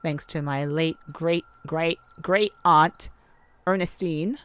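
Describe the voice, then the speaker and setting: A woman playing an excitable, affectionate mage sweetheart in a romantic ASMR roleplay, with a gushing, easily flustered, rambling manner. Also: low voice, slow, clear, resonant tone. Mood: moved, affectionate.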